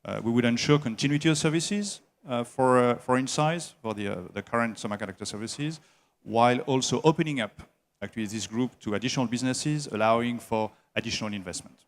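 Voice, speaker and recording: A man heading an engineering services company, answering interview questions at a trade show in a slow, halting way with many filler sounds. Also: mid-range voice.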